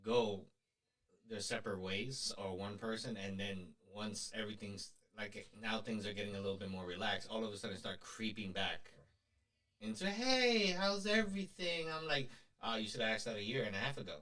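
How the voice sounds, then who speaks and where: A man co-hosting a podcast, teasing and animated in casual chat, dropping into Spanish at times. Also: on the low side, low-quality recording. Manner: teasing, animated, quick interjections. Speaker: podcast co-host.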